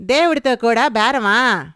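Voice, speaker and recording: A woman voicing a worried, sharp-tongued wife who pleads and scolds. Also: on the high side, animated, unhurried, clear, resonant tone, no room sound, clean studio sound. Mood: firm.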